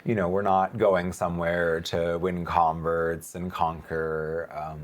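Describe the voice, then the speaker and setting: Man, pastor, deep voice, earnest, chatty, frequent laughs, lingering cough. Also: level tone, slow. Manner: earnest, laughs often, mocks others in high sarcastic voices. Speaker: pastor and missionary guest. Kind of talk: casual conversation.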